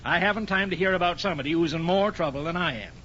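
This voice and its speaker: An actor playing a defensive, grumbling middle-aged husband in a 1940s radio comedy, hemming and hawing with exasperation. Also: male voice, quick pace, fairly bright tone, a little hiss or hum. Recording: muffled, rumbling 1940s radio recording.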